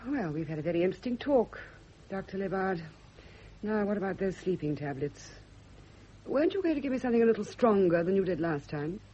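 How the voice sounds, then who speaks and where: A woman's voice: medium pitch, sing-song, brisk, dark tone, a little hiss or hum, close to an everyday voice. An actress playing a bitter, jealous woman, cold and scornful, in a radio drama. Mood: guarded, suspicious. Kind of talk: 1970 radio drama acting. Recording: dull, band-limited old radio recording.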